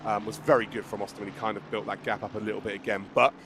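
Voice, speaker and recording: Hoarse guy calling a sim race, gets excited n jokes around, bit of background hiss. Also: fairly low pitch, very fast. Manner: excitable, joking. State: hoarse, losing his voice. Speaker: race commentator. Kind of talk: live esports race commentary.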